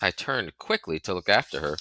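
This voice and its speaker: A man's voice: low voice, big pitch swings, a little fast, bright, forceful tone, dry recording. A man reading a novel aloud as scripted storybook narration. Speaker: audiobook reader.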